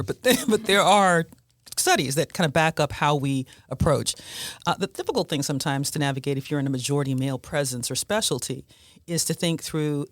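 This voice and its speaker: A woman's voice: deep voice, dry recording. Woman host in her fifties, physician, easygoing chat with laughs, close dry mic. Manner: chatty, laughs between remarks. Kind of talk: casual panel conversation.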